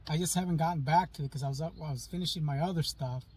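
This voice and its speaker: Guy co-hosting a podcast, kinda high voice, rambly, repeats stuff, sits back from the mic outside. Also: very fast, darkish tone, slightly rough. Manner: rambling, repeats himself, dad jokes. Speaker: podcast co-host. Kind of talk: casual chat. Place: in a topless Jeep, outdoors. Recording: faint noise, distant from mic.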